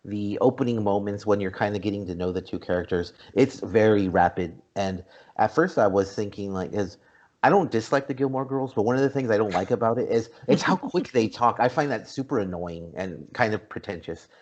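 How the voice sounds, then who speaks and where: A man's voice: on the low side, brisk, a little mellow. A man hosting a podcast, talking casually as he leads a film review discussion. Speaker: podcast host. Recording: dull, lo-fi podcast audio.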